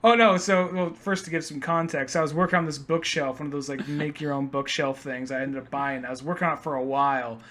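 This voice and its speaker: A man's voice: on the high side, fairly even intonation, fairly quick, hardly any pauses, a bit bright. A young man co-hosting a podcast with casual, conversational commentary peppered with "like". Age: young adult. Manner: conversational, filler-heavy with frequent "like". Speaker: podcast co-host.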